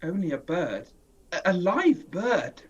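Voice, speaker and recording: A man's voice: high-pitched, sing-song, slightly rough, heavily acted. An actor playing a weary survivor who narrates his own journey and speaks hesitantly, at times unsure, in a radio drama, recorded on a dull lo-fi mic. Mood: uneasy. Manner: hesitant, uncertain in dialogue; measured in narration. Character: weary male survivor wandering a ruined land. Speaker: narrator and main character.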